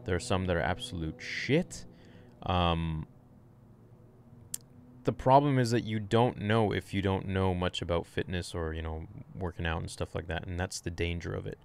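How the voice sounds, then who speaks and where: A man's voice: sits low, normal speed, vocal fry, clear, resonant tone. A young man casually answering fitness questions on a home livestream, rambling, frank and swearing freely, recorded by a desk mic in a small room. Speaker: fitness streamer.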